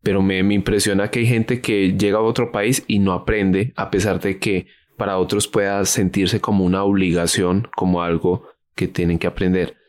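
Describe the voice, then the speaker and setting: Low-voiced man hosting a conversation. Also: level tone, normal speed, hardly any pauses. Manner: curious, questioning, explanatory. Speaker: podcast host. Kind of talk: casual interview conversation.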